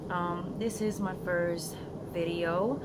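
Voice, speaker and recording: A woman's voice: lowish voice, fairly even intonation, slow pace. A young woman with a Spanish accent, Spanish her first language, chatting earnestly in an unedited phone vlog outdoors, with many ums and restarts. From Florida, United States. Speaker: vlogger. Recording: phone recording outdoors with clear background noise.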